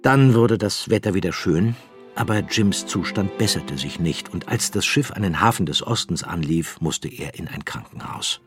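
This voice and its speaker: A man narrating a literary radio drama in a measured storytelling manner. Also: deep voice, lively intonation, soft background music.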